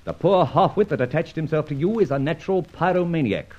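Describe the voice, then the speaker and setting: An actor narrating and playing a suave, confident masked gentleman adventurer in an old radio drama, with a mocking, commanding manner. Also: on the high side, quick pace, lightly acted. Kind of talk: 1940s radio acting. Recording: dull old radio recording.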